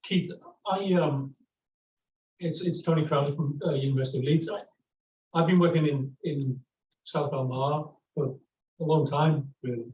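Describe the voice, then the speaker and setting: A man, asking a long question at an academic talk, halting with many restarts. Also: on the high side, darkish tone, highs cut off. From England. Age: 60s. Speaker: audience member asking a question. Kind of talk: academic discussion. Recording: echoey room from far off, faint noise.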